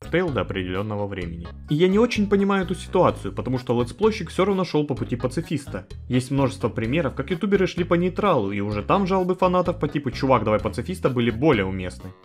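A man's voice: mid-range voice, very animated, very fast, few pauses. A man narrating scripted video commentary in a wry, informal manner with some swearing. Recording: music behind the voice. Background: faint music.

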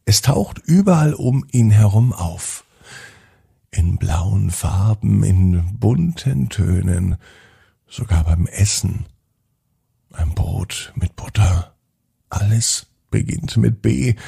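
A man narrates a children's bedtime story in a gentle, deliberate way with pauses. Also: on the low side, creaky, breathy edge.

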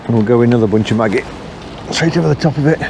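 A middle-aged man from England talking casually to the camera while fishing from a riverbank, thinking aloud in a relaxed, unhurried way. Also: mid-range voice, vocal fry. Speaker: angler filming his own channel. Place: riverbank outdoors on a windy day. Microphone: camera mic placed behind him. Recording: outdoor camera audio with wind noise.

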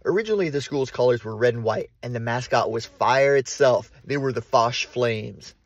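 Husky-voiced man narrating calmly. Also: mid-range voice, moderate pace, dry recording, lo-fi sound. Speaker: urban explorer narrator. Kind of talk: documentary narration with on-site asides.

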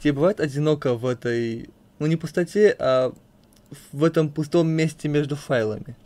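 A man's voice: on the high side, normal speed, darkish tone. A man reading questions aloud to a character in an amateur comic dub. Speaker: question reader.

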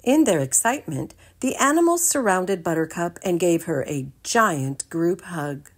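Slow, animated woman storyteller. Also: lowish voice. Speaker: read-aloud storyteller. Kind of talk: expressive children's storybook narration.

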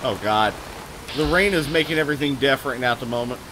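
A man streaming live gameplay, talking loud and excitably, with a booming voice, cursing at the game and answering chat. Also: quick pace, background noise. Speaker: gaming streamer.

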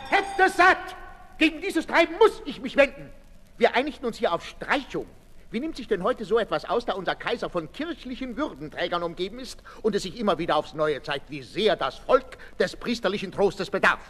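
An indignant official of an asylum, pompous and protesting in a high voice that swings widely. He sounds like 1960s radio theatre, in a reverberant hall with some noise. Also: very animated, fairly quick, fairly bright tone, exaggerated voice. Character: indignant pompous male asylum director defending church and state. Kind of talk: 1960s German radio play acting.

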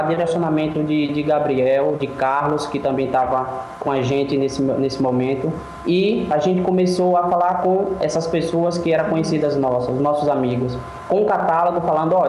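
A man's voice: fairly high pitch, darkish tone. A man in his thirties from Brazil, telling his story as an interview guest over a video call, earnest and full of restarts. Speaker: guest entrepreneur. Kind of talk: interview answers. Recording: echoey room with faint noise.

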